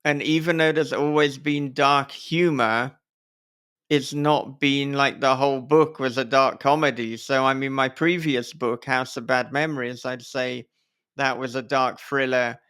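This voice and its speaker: A man's voice: medium pitch, a bit bright, smooth. A man hosting a podcast in casual conversation, talking in a chatty, rambling way with a chuckle now and then. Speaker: podcast host and horror author.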